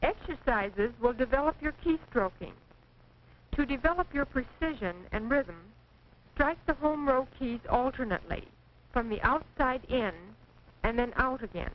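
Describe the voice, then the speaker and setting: An American woman reading scripted 1940s training film narration in a precise, measured, instructive manner. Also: high-pitched, animated. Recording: dull, noisy 1940s film soundtrack.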